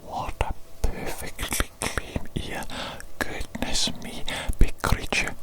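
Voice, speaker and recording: A woman voicing an eccentric, theatrical evil doctor in a breathy whisper for an ASMR medical roleplay, often repeating words and phrases. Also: slow pace, lightly acted. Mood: fussy, delighted.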